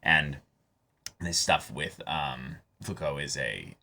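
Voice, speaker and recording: A man co-hosting a reaction show, talking casually and thinking aloud in halting phrases as he discusses a show. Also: rumbling low, gravelly, pushed and bright. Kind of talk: casual discussion of an anime episode.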